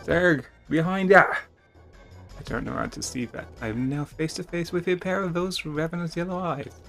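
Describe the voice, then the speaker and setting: A man with a fairly high voice gives a dramatic reading of a text adventure game. He is theatrical but informal, rereading when he trips up, and music plays behind him. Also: brisk, vocal fry. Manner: theatrical, stumbles and rereads lines. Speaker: gamer reading a game's text aloud. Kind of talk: dramatic narration of a text game with casual asides.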